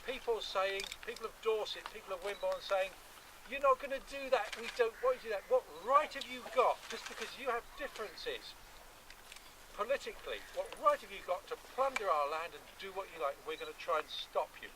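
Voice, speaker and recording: A man's voice: sits high, animated, fairly quick. A man giving an earnest, emphatic impromptu introduction at an outdoor event, repeating phrases for effect. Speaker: event speaker introducing a reenactment. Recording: noisy outdoor recording from far off.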